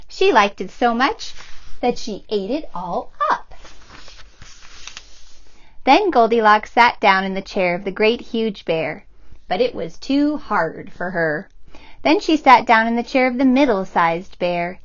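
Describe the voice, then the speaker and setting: Slow, gentle storytime woman. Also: on the high side, lively intonation, muffled recording. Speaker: children's librarian and author. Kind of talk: children's book read-aloud.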